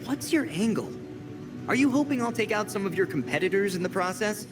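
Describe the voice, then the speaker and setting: High-voiced, wary teenage boy vigilante. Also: expressive, a little fast, over music. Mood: wary, sarcastic. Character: wary, sharp-tongued teenage male vigilante. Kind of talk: conversational video game acting. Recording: clear background noise.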